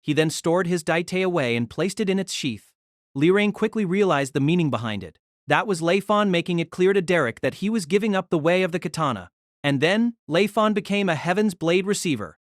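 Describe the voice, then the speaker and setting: A man narrating a fantasy novel for an audio drama, with a fairly high voice that rises and falls a lot. His reading is steady and measured, and the recording is close and dry with no room sound. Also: dry recording.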